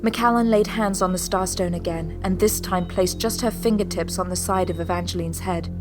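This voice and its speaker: A woman's voice: fairly low pitch, normal speed, music in the background. A woman narrating a sci-fi audio drama in a scripted, dramatic style.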